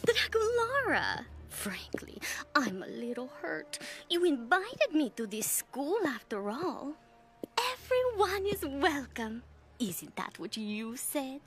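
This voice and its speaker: A woman playing a scornful teenage zombie villainess in a cartoon, with a mocking, theatrical, drawn-out delivery. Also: high voice, big pitch swings, full character voice. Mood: feigned hurt, sly. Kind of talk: animated cartoon acting.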